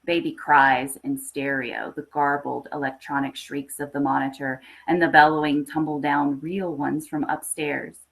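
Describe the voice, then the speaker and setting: A woman reading a literary horror short story aloud with expressive, scripted narration. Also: deep voice, moderate pace, gravelly, few pauses, rough recording. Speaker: story reader.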